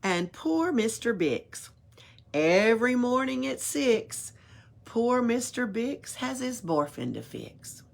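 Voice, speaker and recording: A woman's voice: on the high side, slow pace, gravelly. A woman reading a rhyming picture book aloud at a library storytime, playful, with little asides of her own. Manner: expressive, playful, adds asides and chuckles.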